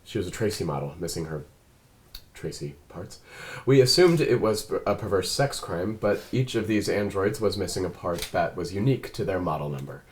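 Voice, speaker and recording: A man playing an earnest, reserved android detective in a drama read-through, speaking in measured, precise, formal phrases. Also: low voice, expressive. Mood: pensive. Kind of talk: table read of a drama script.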